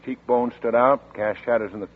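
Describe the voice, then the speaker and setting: An actor in a 1940s radio drama playing an efficient young sheriff who briefs in a brisk, matter-of-fact way, with a husky voice. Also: male voice, mid-range voice, steady tone, lightly acted. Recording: tinny old radio broadcast.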